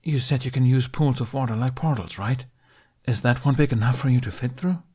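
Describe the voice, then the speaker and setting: A man voicing a protective young man who talks in firm, hushed reassurance in an audiobook fan reading. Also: medium pitch, a little fast, husky, voice pushed up, natural voice. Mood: urgent.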